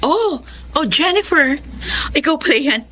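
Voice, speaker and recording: A woman's voice: high-pitched, expressive, a little slow, sharp tone, slight background noise, heavily acted. An actress playing an embarrassed female former schoolmate in a Filipino radio drama. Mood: awkward surprise.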